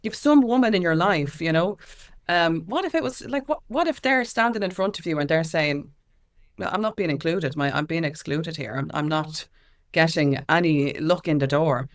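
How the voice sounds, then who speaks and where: A woman's voice: sits low, expressive, moderate pace. A middle-aged woman with an Irish accent explaining things in an interview, emphatic and repeating phrases for weight. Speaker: interview guest, music consultant and activist.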